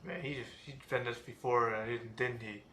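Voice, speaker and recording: A man giving casual live commentary on a game as he plays, halting and often repeating his words. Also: medium pitch, fairly even intonation, a bit bright, a little hiss or hum. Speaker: game streamer. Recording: game music behind.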